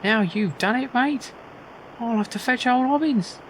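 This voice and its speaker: A blunt, mocking male factory worker from an adventure game, put on by a man. His voice is pushed high and loud, exclaiming at whoever is in front of him, over clear background noise. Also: a little slow, low-quality recording. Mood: alarmed. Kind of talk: amateur voicing of adventure game dialogue.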